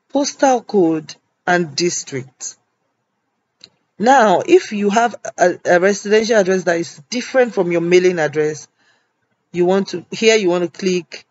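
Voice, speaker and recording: A man talks a viewer through an online form one field at a time, patient and methodical. His voice sits a little high, and he often pauses with "um". The voice-over has a dull, lo-fi sound. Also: no echo. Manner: patient step-by-step explaining with frequent ums. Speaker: tutorial host. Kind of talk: screen-recorded form walkthrough. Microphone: voice-over on a screen recording.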